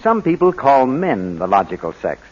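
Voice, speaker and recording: A man's voice: mid-range voice, sing-song, moderate pace, darkish tone. A man announcing in scripted 1940s American network radio style. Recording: muffled old radio broadcast.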